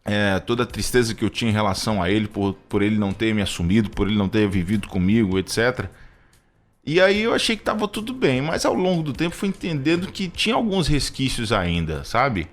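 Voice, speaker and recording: A Brazilian man in his thirties giving personal testimony in conversational talk, reflective and halting, often repeating words as he goes. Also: on the low side, big pitch swings. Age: 30s. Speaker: radio show co-host.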